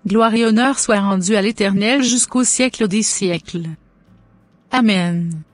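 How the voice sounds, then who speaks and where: A woman's voice: medium pitch, a little slow, no echo, close to an everyday voice. Woman voicing a pious cartoon mother, middle-aged, solemn blessings, dry close sound. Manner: solemn, blessing-heavy, churchy phrasing.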